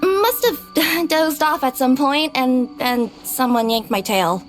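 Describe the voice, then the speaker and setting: A woman's voice: sits high, slow, soft background music. A woman voicing a friendly, drowsy young female cat yokai in a video game, with a hesitant, polite, youthful delivery. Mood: sheepish.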